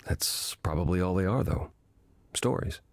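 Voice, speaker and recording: An actor playing an ancient, weary god in a video game, speaking as a gentle, patient mentor in a measured, reflective way. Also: male voice, sits low, lively intonation, dry recording. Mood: wistful, hesitant.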